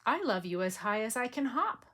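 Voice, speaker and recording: A woman's voice: fairly high pitch, big pitch swings, moderate pace, sharp tone, pitched above the performer's own voice. A woman voicing a small, sleepy boy hare who is eager and loving, reading from a storybook.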